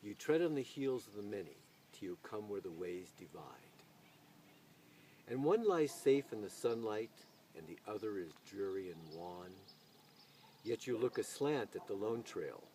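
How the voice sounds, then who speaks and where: A mellow-voiced man speaks as a poetry reader, with deliberate, expressive phrasing. He is some way from the microphone. Faint noise and ambience with birds and insects sit around his voice. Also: lowish voice, distant mic. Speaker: video channel host reading poetry aloud. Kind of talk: expressive poetry recitation with casual spoken introduction.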